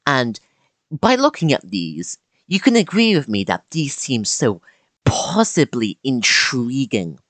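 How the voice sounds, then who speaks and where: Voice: medium pitch, very animated, slow, fairly bright tone, no room sound. A YouTuber narrating a scripted video essay with casual asides and dramatic emphasis on key words.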